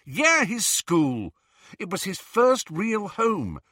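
A man's voice: medium pitch, very animated, normal speed, a bit bright, slightly rough, no echo, clean studio sound, pitched above the performer's own voice. A man voicing an earnest, stubborn, argumentative teenage boy wizard in an audiobook. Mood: insistent, exasperated. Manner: insistent, argumentative. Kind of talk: audiobook character dialogue.

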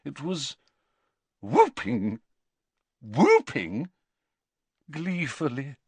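A man voicing a dramatic, haughty, slightly tipsy older woman fortune teller in an audiobook, with a grand, drawn-out delivery. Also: medium pitch, wildly swooping pitch, a little mellow, breathy edge, no room sound, pitched above the performer's own voice, exaggerated voice. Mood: flustered, haughty.

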